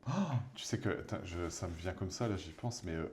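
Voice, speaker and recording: A man's voice: sits low, brisk, a little hiss or hum. A man in his thirties co-hosting a podcast, chatting casually and telling jokey stories while painting. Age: 30s. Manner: lively, jokey, animated storytelling. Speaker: podcast co-host.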